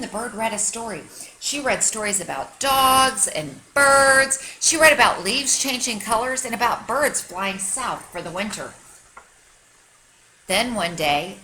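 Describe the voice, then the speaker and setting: A woman's voice: mid-range voice, measured pace, pushed and bright, a little hiss or hum, poor audio. A woman teacher reading a children's story aloud expressively, with an emphatic, teacherly delivery aimed at young children. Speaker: kindergarten teacher.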